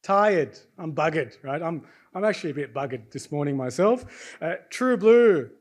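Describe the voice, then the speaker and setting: A chatty Australian man hosts a live university session, with a fairly high voice. He keeps tossing "right?" to the crowd and quizzing them as he goes. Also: expressive, moderate pace. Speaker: host of a student presentation event. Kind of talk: lively event hosting before an audience. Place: university lecture hall.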